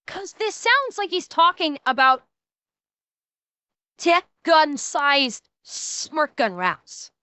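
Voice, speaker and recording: A woman's voice: high voice, big pitch swings, very slow, pushed and bright, no room sound. A woman giving emphatic, interjecting live-stream reaction commentary, sometimes in a mock-commanding way. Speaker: reactor commenting on a comedy video.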